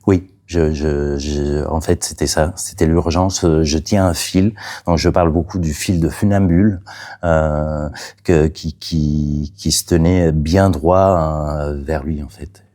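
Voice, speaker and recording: Man, native Catalan speaker, very low pitch, mellow dark tone, halting speech, soft trailing endings. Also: fairly even intonation. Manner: hesitant, many fillers and restarts. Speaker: playwright. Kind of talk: interview about his play.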